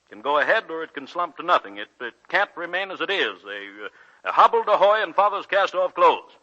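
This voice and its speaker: An actor playing a stern, demanding university president in a 1940s radio drama. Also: male voice, fairly high pitch, big pitch swings, a little fast, pushed and bright. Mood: firm, insistent. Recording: dull 1940s radio broadcast.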